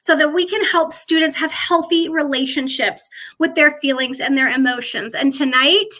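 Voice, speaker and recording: A woman's voice: high-pitched, normal speed, bright, forceful tone. A woman hosting a livestream on a video call, bubbly and effusive. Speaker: host.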